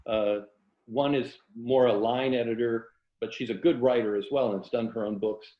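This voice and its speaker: A man's voice: mid-range voice, steady tone, moderate pace. Older american guy talking casually as an interview guest, muffled echoey room sound. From Tampa, Florida, United States. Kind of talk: casual literary interview chat.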